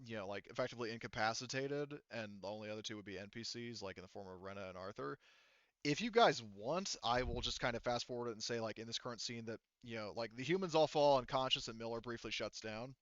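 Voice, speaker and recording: A man runs a tabletop roleplaying game in a casual, improvised way. His voice is clear and medium in pitch, and he often pauses to think out loud. The recording is close and dry, with a slightly muffled top end. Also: no room sound. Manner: halting, thinking aloud while working out rules. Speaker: game master.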